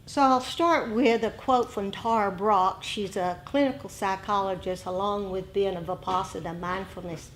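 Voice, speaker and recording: A woman meditation teacher giving a dharma talk to a group, speaking deliberately and haltingly, with pauses between short phrases. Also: mid-range voice, a little hiss or hum, rough recording.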